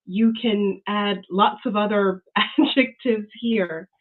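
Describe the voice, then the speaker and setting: A woman's voice: medium pitch, fairly even intonation, takes it slowly. A woman giving an award acceptance speech as an author. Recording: band-limited, slightly dull audio.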